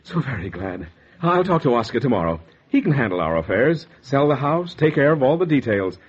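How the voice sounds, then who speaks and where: 1940s radio noir: male actor as secretive American husband, stammering and guilty, swinging pitch, dull vintage sound. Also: sing-song, brisk, slight background noise, lightly acted. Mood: anxious persuasion. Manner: nervous, hesitant, stammering. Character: anxious guilty husband, secretive murderer, narrating his crime.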